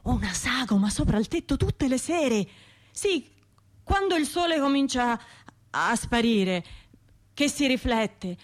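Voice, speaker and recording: An actress playing an anxious, self-doubting trauma survivor in a radio drama, talking to herself in broken, halting phrases with a Tuscan accent. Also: female voice, high-pitched, expressive. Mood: uneasy, hesitant. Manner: halting, fragmented, self-interrupting inner monologue.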